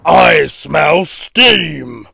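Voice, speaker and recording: A man voicing a huge, greedy, menacing storybook giant in a booming, slow chant. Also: animated, vocal fry, pushed and bright, a little hiss or hum, full character voice.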